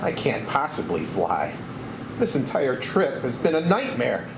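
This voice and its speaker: A man's voice: medium pitch, wildly swooping pitch, measured pace, creaky, full character voice. A man playing an anxious, melodramatic former war pilot who doubts himself, in a screenplay table read. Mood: despairing. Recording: echoey room with background noise.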